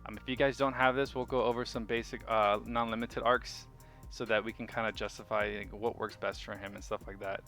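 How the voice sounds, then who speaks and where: A man with a fairly low voice talks over music in an informal gaming commentary. He rambles a little, thinking aloud as he hosts the video. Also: level tone, fairly quick, fairly bright tone. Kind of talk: casual gameplay commentary. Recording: music behind the voice.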